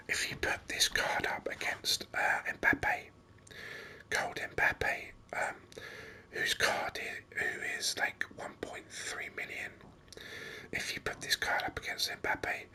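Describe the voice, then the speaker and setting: Gamer doing asmr commentary, all breathy whispering, really slow, kinda rambly and enthusiastic. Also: sharp tone. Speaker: gaming video host.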